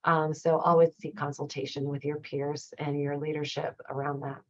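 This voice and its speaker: A woman leading a professional training, explaining slides in a conversational, supportive way, with a slightly raspy voice that is starting to give out. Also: low voice, level tone, moderate pace, darkish tone. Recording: dull, muffled lo-fi audio.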